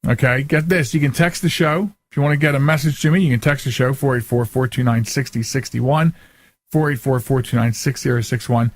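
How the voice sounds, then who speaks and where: A man's voice: medium pitch, a little fast, no room sound. An American man hosting a radio talk show in a casual, chatty, folksy and joking way.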